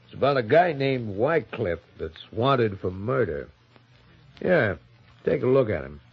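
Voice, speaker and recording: Husky, folksy police sergeant. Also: male voice, medium pitch, very animated, heavily acted. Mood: folksy, inquisitive. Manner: halting, informal, folksy questioning. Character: plain-spoken, folksy male police sergeant, inquisitive and hesitant. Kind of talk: 1950s radio drama acting. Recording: muffled old radio recording.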